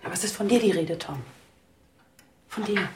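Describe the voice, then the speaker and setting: An actress playing an upper-class sister in a radio drama, coaxing in short, halting, insistent phrases. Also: female voice, mid-range voice, background noise, lightly acted. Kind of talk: German radio drama acting.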